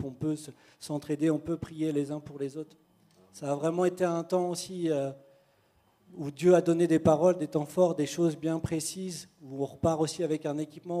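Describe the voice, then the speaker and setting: A man who is a youth leader, giving a relaxed, conversational church testimony with frequent fillers. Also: fairly high pitch.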